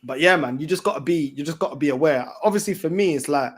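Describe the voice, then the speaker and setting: A man's voice: a little high, quick pace, breathy edge. A man with a London accent chatting casually as a livestream host, in a slangy, repetitive, emphatic way. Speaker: fan channel host.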